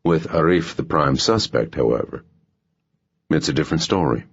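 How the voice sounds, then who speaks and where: World-weary spy boss for a thriller audiobook, played by a male reader: very deep, husky, frank and wry. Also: animated, normal speed, full character voice. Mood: matter-of-fact. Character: blunt, world-weary male American intelligence official. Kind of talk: audiobook character dialogue. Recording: muffled, dull audio.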